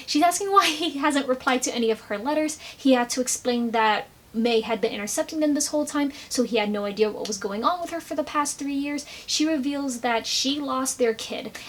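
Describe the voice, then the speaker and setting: A woman with a high voice talks casually through a fan recap. She is animated and drops sarcastic asides as she goes, like a commentator chatting to viewers. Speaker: video commentator.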